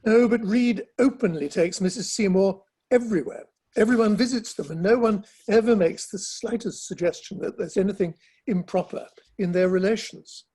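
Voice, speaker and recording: A gossipy, bemused Victorian literary gentleman performed by a man with a notably high, rough-edged voice. He speaks in clipped, droll phrases, as in a staged reading of a period play. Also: moderate pace. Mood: confiding. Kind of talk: dramatic play reading over the internet.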